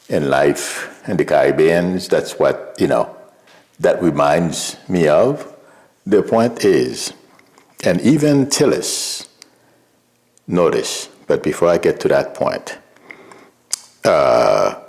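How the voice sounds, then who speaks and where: A man's voice: very deep voice, gravelly. A man from Haiti whose first language is Creole, giving rambling commentary on a livestream as a psychic. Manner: rambling, restarts often, soft chuckles. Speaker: psychic streamer.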